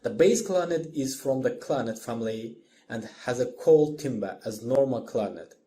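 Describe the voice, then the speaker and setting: A man giving a scripted lecture as a voice-over, in a measured, explanatory manner. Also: medium pitch, animated, dark tone, slight background noise. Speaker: music lecturer.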